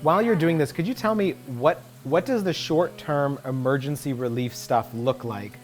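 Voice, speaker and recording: A man's voice: fairly high pitch, moderate pace. A man hosting a casual cooking show, bantering in a joking, playful way. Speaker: comedian host of a cooking series.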